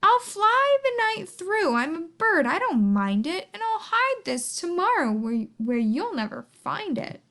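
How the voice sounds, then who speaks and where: A woman's voice: very high voice, sing-song, unhurried, hardly any pauses, bright, forceful tone, heavily acted. A woman voicing a mean storybook eagle, curt and sneering. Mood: defiant.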